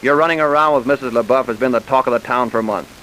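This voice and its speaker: A man's voice: medium pitch, quick pace, pushed and bright, lightly acted, low-quality recording. A man playing a blunt, persistent county sheriff in an old-time radio crime drama, folksy and confident. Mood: threatening, confident. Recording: hissy vintage radio recording.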